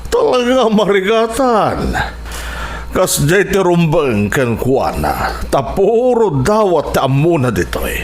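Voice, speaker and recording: A man's voice: high voice, huge pitch swings, slow pace, exaggerated voice. A man acting a stern middle-aged father in a radio drama, lecturing firmly. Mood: firm, explaining. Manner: firm, lecturing. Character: stern middle-aged father teaching his son hardship.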